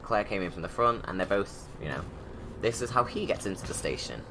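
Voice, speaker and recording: A man's voice: fairly low pitch, lively intonation, fairly quick, background noise. A man giving casual, informal livestream commentary, rambling and thinking aloud as he goes. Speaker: video creator giving commentary.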